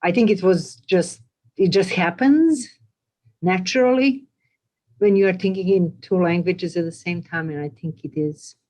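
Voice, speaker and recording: A woman's voice: lowish voice, measured pace, warm tone. Woman, hesitant. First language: Serbian. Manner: thoughtful, hesitant, many ums. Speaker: retreat participant. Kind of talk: casual discussion.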